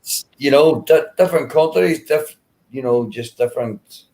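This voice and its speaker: A man's voice: mid-range voice, normal speed, warm tone. Middle-aged Northern Irish man, chatty. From Northern Ireland. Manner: leans on "you know", restarts words. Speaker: stream guest. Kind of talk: casual live-stream chat.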